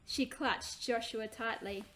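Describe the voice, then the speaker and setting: A woman reading her own children's book aloud in an expressive, animated way. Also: on the high side, takes it slowly.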